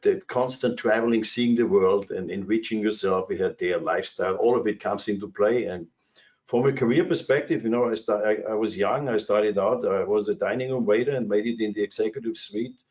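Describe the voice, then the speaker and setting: A man's voice: fairly low pitch, darkish tone, dull audio. A man in his sixties from Austria with an Austrian German accent, speaking as a cruise industry executive on a webinar panel from his office, rambling through anecdotes with many fillers and restarts. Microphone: video call.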